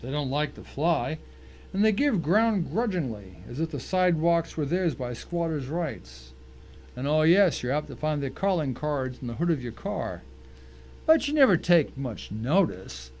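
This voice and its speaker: A man narrates a suspense story in the first person, with dramatic delivery. His voice sits slightly high, and there is faint noise behind it. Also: very animated, measured pace, a little mellow.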